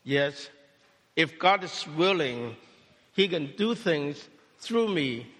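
A man's voice: on the high side, lively intonation, fairly bright tone, big-room sound. Pastor with a Cantonese mother tongue. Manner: speaks in short phrases, pausing for interpretation. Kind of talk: sermon testimony with interpreter.

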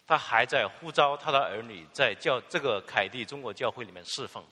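Male sermon interpreter with a bright, animated voice, filler particles between phrases, echoing in a long-reverb church hall. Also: mid-range voice, a little fast, slightly rough. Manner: fluent, with filler particles and restarts.

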